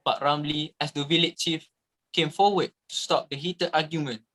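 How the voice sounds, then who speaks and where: A man narrating a story as a scripted dramatic reading, with theatrical, deliberate emphasis. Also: on the high side, steady tone, bright, forceful tone.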